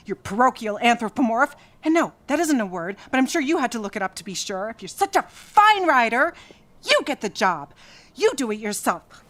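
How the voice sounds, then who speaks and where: A woman playing a fiercely loyal, sharp-tongued 1930s wife, scornful and emphatic, in a period radio drama. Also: a little high.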